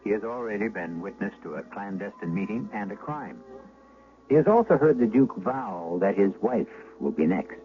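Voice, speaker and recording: A man hosting an old-time radio drama with deliberate, theatrical, ominous narration. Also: on the low side, animated, faint music behind. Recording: tinny, noisy vintage radio broadcast.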